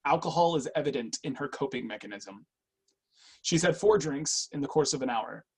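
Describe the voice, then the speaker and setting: A man acting a composed, probing assessor, slightly husky. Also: mid-range voice, expressive, normal speed. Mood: clinical. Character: composed male personal assessor, businesslike and probing. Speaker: actor in a screenwriters' readers theatre. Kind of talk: staged script reading.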